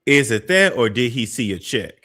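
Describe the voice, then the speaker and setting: A man's voice: medium pitch, big pitch swings, normal speed, a bit bright. A man hosting a commentary show, reacting to clips in a gossipy, skeptical way. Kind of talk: reaction commentary on a played clip.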